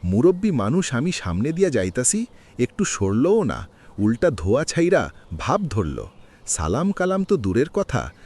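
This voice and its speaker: An actor playing a weary, aggrieved elderly villager in a cartoon, with a rural Bangladeshi Bengali accent and a plaintive, folksy way of complaining. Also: male voice, medium pitch, wildly swooping pitch, a little slow, a little mellow, husky, full character voice. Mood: shaken, indignant. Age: older. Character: weary, aggrieved elderly village man lamenting hard times. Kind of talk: cartoon village drama dialogue. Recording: faint background noise with clicks.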